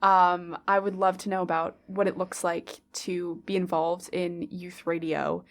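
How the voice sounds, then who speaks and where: A woman's voice: on the low side, level tone, unhurried. A woman hosting an interview, eagerly encouraging her guest with frequent affirmations. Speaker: interview host. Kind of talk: casual interview.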